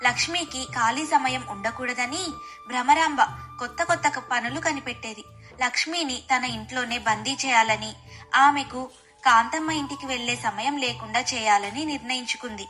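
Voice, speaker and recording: A woman's voice: high-pitched, normal speed, pushed and bright, soft background music. A woman narrating a scripted moral bedtime story as the storyteller.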